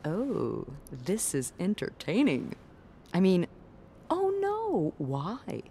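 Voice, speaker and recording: Sassy hotel front-desk gossip for a narrative game: low female voice, wry and blunt, faint noise behind. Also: huge pitch swings, extremely slow, mellow, exaggerated voice. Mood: catty, exasperated. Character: sassy, gossipy young woman hotel receptionist. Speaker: video game character. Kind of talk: natural conversational game acting.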